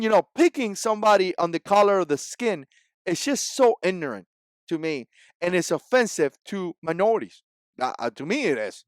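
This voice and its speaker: A man's voice: sits high, no echo, clean studio sound. A man in his forties with a Cuban Spanish accent, Spanish his first language, giving an emphatic, repetitive opinion vlog. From Cuba. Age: 40s. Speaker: vlogger.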